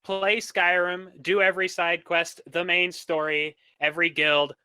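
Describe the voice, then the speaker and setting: A man's voice: high voice, little pitch movement, measured pace, pushed and bright, smooth, dry recording. A man giving blunt, emphatic opinions in a casual podcast discussion as a guest.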